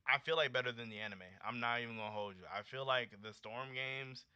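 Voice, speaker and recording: A young American man in his late twenties talks casually as a livestream host. His voice is very bright and punchy, with a slangy, animated delivery. The audio is slightly dull and lo-fi. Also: medium pitch, a little fast, clean tone, dry recording. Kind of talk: casual livestream chat with viewers.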